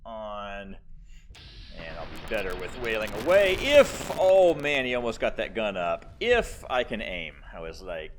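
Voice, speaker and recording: A man's voice: fairly low pitch, very animated, a little slow. A man hosting a let's play, casually commentating and explaining his tactics as he plays. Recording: clear game noise behind voice. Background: video game sound effects.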